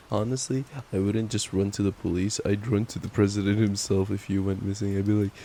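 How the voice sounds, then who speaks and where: A man's voice: fairly low pitch, fairly even intonation, moderate pace, mellow. A young man doing an affectionate, playful ASMR boyfriend roleplay, reading a bedtime story with stumbles and giggly asides. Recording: noisy track with ambient rain sounds. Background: rain ambience.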